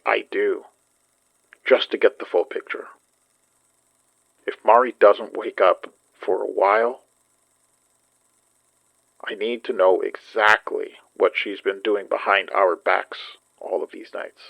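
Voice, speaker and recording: A man's voice: lowish voice, fairly even intonation, slow, vocal fry, pauses often, dry recording, dull audio, heavily acted. A man playing a grim, weary friend worried about someone secretive, in a naturalistic audio drama. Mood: weary, resolute.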